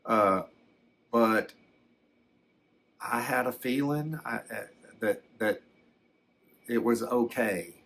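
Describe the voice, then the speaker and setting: A man playing a gentle, rambling older man who recalls his alien abduction in a hesitant, folksy, reflective way for an ASMR story. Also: mid-range voice, takes it slowly, long pauses. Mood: reflective, halting. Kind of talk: first-person ASMR storytelling.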